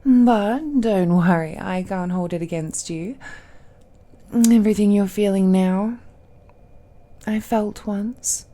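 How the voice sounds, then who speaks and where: A woman's voice: mid-range voice, slow pace, long pauses, warm tone, close to an everyday voice. A woman voicing an ancient, possessive villainess in an intimate audio roleplay, teasing and condescending with smug, doting airs. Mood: bitter, mock pitying. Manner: languid, condescending, teasing, with sighs and hums.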